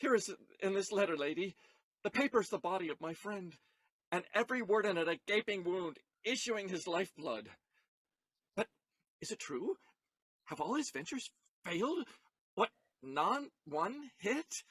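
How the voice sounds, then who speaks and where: An actor playing an earnest, distraught young gentleman lover in a Shakespearean audio play, with theatrical, declamatory delivery. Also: high voice, expressive, moderate pace, long pauses, slightly rough, dry recording, heavily acted. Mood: anxious.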